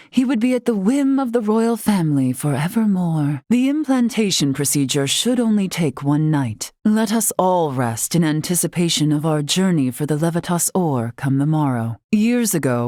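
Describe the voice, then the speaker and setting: धीमे सुर वाली गंभीर महिला मुखिया. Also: female voice, low-pitched, a little mellow, dry recording, studio quality. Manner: formal, archaic, measured phrasing. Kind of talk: video game character acting.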